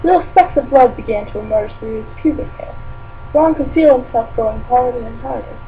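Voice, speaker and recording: A woman's voice: on the high side, brisk, close to an everyday voice. A woman voicing a dreamy, oddball teenage witch girl who reads fan fiction aloud in character, with airy, politely curious, rambling asides. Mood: dreamy reading. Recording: tinny phone-like sound with faint hum.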